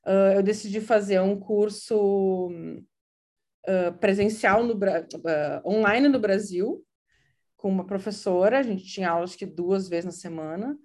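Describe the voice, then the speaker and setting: A woman's voice: medium pitch, a little slow, a little mellow. A woman from Brazil with a gaúcho accent, talking casually and hesitantly, full of fillers and restarts. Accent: Gaúcho Brazilian Portuguese. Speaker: interviewee. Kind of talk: casual conversational account.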